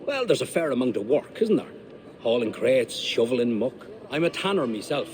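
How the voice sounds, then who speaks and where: An actor playing a friendly, gossipy Irish tanner with an Irish accent in a video game. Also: male voice, medium pitch, sing-song, normal speed, slight background noise, natural voice. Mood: chatty. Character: chatty Irish tanner, common townsman.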